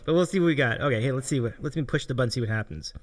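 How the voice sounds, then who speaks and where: A man's voice: mid-range voice, very fast, dry recording. A man hosting a livestream, chatting casually with his guest and viewers. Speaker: livestream host.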